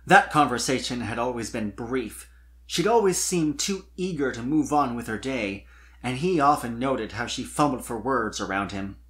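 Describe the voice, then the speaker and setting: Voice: mid-range voice, lively intonation, normal speed, a bit bright. A narrator reading fan fiction aloud as a dramatic scripted story.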